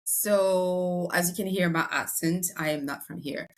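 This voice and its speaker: A woman's voice: lowish voice, unhurried. A woman from Congo, raised in France, with a French accent, talking at length in a podcast interview as a real estate broker, with many fillers and restarts.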